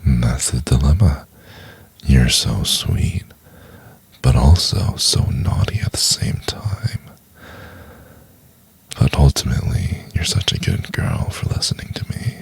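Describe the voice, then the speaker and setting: Very deep gravelly, seductive male professor acted by a man. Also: lively intonation, whispered, heavily acted. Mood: tender, seductive.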